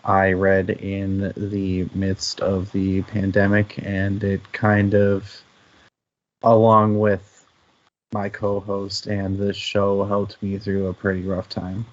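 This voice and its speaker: Male book-club host, lowish voice, very even intonation, casual and halting delivery, muffled lo-fi sound. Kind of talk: casual book discussion chat. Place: live online stream. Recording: dull, lo-fi audio.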